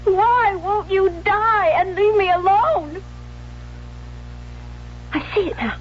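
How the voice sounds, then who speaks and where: A woman's voice: very high voice, slow. An actress playing a tormented, love-starved young woman in an anguished 1970s radio drama style. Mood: hysterical despair. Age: young adult. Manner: anguished, overwrought, dramatic delivery. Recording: muffled, noisy old radio broadcast.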